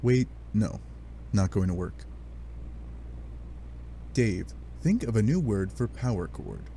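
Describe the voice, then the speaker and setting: A man with a fairly low voice narrates a comic adventure in an animated, sardonic way. His pitch swings widely between dry statements and dramatic asides. A light but steady noise sits behind him. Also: takes it slowly. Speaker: narrator of a fan dub read-along.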